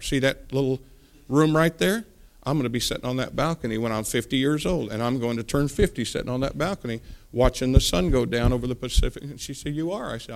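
A man in his forties preaching a sermon, telling a folksy personal story and building to emphatic points. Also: medium pitch. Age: 40s.

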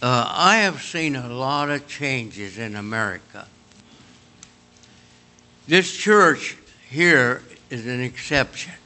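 A man's voice: mid-range voice, slow, pauses often. A man in his late eighties from Kentucky with a Kentucky accent, preaching a homespun sermon full of personal stories. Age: 80s. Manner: homespun, storytelling, lively and chatty.

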